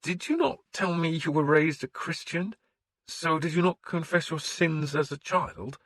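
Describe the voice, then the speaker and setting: A man's voice: a little high, a bit bright, dry recording, pitched above the performer's own voice. A man doing a fussy, faint-hearted priest. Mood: scandalized. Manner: slow, hesitant, lifted and pleading.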